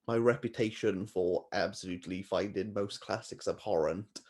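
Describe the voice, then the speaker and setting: A man co-hosting a casual book chat, self-deprecating and quick to laugh. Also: sits low, fairly even intonation, darkish tone. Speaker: booktuber co-host.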